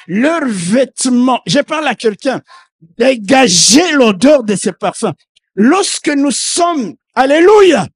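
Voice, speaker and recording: A middle-aged bishop preaches in a sermon, speaking a language that is not his mother tongue. He delivers it slowly and deliberately, dropping into quiet asides before rising to loud, fervent proclamations punctuated by hallelujahs. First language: not French. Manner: swings from low asides to loud shouted proclamations.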